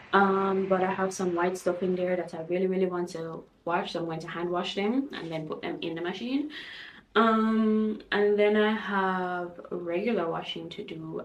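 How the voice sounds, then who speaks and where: Young jamaican woman vlogging at home, bit hoarse, rambly, echoey room, kinda far from the mic. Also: on the low side, a little hiss or hum. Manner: rambling, many ums, restarts and trailing-off quiet endings. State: slightly hoarse, lost voice. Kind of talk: casual daily vlog narration. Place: at home, bathroom.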